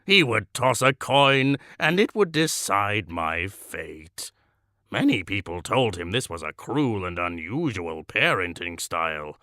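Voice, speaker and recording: A man performs a self-important male crank reading out his letter in a comic monologue. His voice is gravelly and forceful, unhurried and full of grandiose pauses, recorded dry and close. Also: on the low side, no echo, exaggerated voice. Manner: pompous, grandiose, theatrical pauses. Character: pompous, deluded male crank writing letters to a newspaper. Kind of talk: comedic monologue read as a letter.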